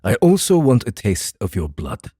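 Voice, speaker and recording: A man playing a calm, guarded and commanding samurai in a fantasy audiobook, speaking formally and deliberately. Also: on the low side, husky, dry recording, studio quality, heavily acted. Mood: grave, guarded. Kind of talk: dramatized fantasy audiobook acting.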